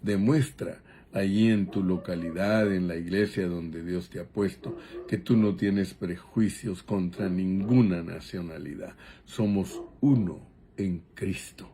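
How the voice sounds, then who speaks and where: A man preaching a Bible teaching sermon in a deliberate, measured way, pausing between short phrases. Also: low voice, warm tone, a little hiss or hum.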